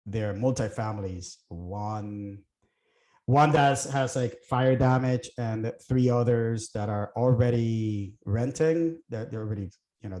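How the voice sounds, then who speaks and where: Relaxed middle-aged man from Puerto Rico. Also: on the low side, reverberant. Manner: relaxed, thinking aloud with many fillers and restarts. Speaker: guest, real estate investor. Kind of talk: casual interview chat.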